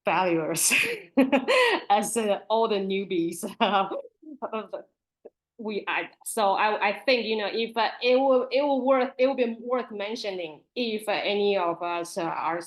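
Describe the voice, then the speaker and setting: A woman in her forties speaks earnestly over a video call, presenting her experience as a librarian and author. Her voice sits at an ordinary middle pitch, and she breaks up her phrases with small, self-conscious laughs. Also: lively intonation, slow pace, rough recording. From Taiwan. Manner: soft nervous laughs between phrases, earnest and candid. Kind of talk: webinar presentation.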